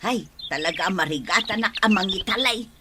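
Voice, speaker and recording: A woman's voice: medium pitch, animated, brisk, noisy background, lightly acted. A woman playing a long-suffering, hardworking wife, exasperated and pleading, in an Ilocano comedy radio drama, with an Ilocano accent.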